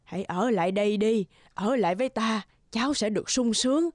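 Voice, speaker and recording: A woman voicing a kindly elderly village woman in a children's cartoon, gentle and coaxing. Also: mid-range voice, a little fast, dry recording, clean studio sound, faint music behind, lightly acted.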